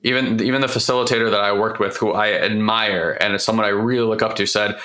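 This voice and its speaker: Man, hoarse, low-pitched, bright forceful tone, candid emotional monologue. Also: steady tone, fast talker. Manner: open, emotional, rambling with restarts. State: hoarse, recovering from losing his voice. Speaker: host of a personal growth talk.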